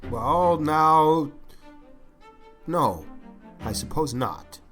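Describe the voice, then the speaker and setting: A man playing a shy, gentle but stubborn older bachelor farmer in an audiobook, speaking in a hesitant, halting, mild way. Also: a little high, animated, soft background music, exaggerated voice. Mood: reluctant, uncomfortable. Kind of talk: audiobook character acting.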